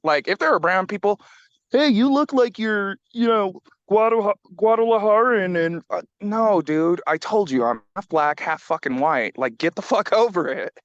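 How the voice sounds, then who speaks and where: A man in casual group discussion, talking bluntly and with dry humor, swearing freely. His voice sits slightly high and sounds dry and close. The recording is dull and muffled. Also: lively intonation, normal speed, dry recording. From California. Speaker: book club member.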